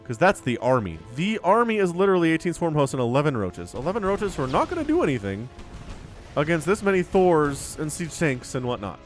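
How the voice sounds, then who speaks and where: A man giving casual, chatty live commentary on a video game as a shoutcaster, a bit sniffly with a cold. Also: a little high, big pitch swings, fairly quick. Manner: chatty, informal, slangy asides. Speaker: esports shoutcaster. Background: music.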